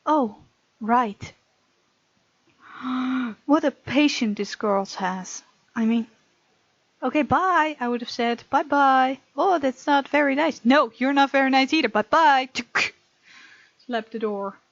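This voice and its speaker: High-voiced playful woman gamer, dull dry sound. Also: takes it slowly, pauses often, no room sound. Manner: playful, reactive, breaks off to comment and giggle. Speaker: gaming video host. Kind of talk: reading game text aloud with casual reactions.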